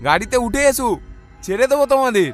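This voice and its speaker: A man's voice: very high voice, lively intonation, fast talker, voice pushed up. A man voicing a frightened young village cart driver in a horror cartoon, calling out urgently over music. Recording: loud music behind.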